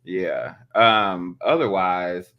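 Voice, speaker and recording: A man speaks in a fairly low register as a podcast co-host. His talk is casual and slangy, in the manner of everyday conversation. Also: drawn-out words, lo-fi sound. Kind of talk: casual chat.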